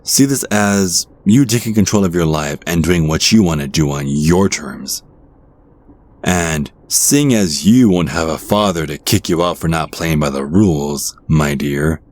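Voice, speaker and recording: A man's voice: sits low, lively intonation, measured pace, darkish tone. A man playing a charming, playful, ageless devil posing as a stranger, in an intimate ASMR roleplay style. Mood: warm, encouraging.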